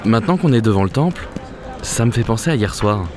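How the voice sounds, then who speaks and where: An actor playing an observant, impulsive adventurer with a casual, streetwise way of talking in an audio drama. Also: male voice, lowish voice, animated, fairly quick, over music, natural voice. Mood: pensive. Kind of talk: French audio drama acting. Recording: clear background noise.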